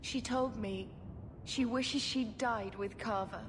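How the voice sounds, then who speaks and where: An actress playing an earnest, concerned young woman in a fantasy game, gentle and questioning. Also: fairly high pitch, slow, long reverb tail, background noise. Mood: troubled. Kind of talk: fantasy video game acting.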